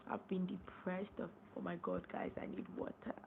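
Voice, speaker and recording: A young woman hosting a casual late-night live stream, hoarse and losing her voice, rambling and repeating herself as she clears her throat. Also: lowish voice, very animated, moderate pace. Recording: tinny phone-line sound, faint noise.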